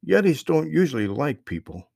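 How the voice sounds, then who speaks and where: A man's voice: on the high side, very animated, normal speed, vocal fry, darkish tone, dry recording, studio quality, voice pushed up, exaggerated voice. A man voicing a friendly, cheerful young boy who reassures lost children, in a raised childlike voice. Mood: reassuring.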